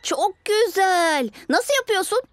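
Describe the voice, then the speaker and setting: Woman as a high-voiced, self-assured boy hero. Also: lively intonation, takes it slowly, no room sound, full character voice. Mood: curious. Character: cautious, self-assured six-year-old boy superhero leader. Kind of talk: animated cartoon dubbing. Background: light music.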